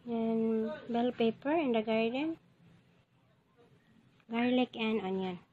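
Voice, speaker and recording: A woman's voice: on the high side, narrow, tinny audio, slight background noise. A woman with a Filipino English accent, casually narrating her home cooking to viewers, filmed on a handheld phone. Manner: slow, chatty, speaking to viewers as she cooks. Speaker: home cook and vlogger. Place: home garden and kitchen.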